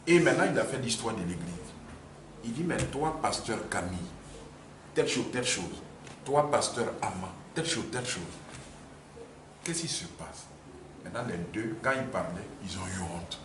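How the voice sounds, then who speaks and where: Man, lively animated telling, far from the mic in a roomy space with ambient noise. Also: on the low side, normal speed, pauses often. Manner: animated storytelling with repetitions. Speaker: church member recounting a dispute. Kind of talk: spontaneous spoken account. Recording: echoey room, distant mic, background noise. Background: ambient room noise.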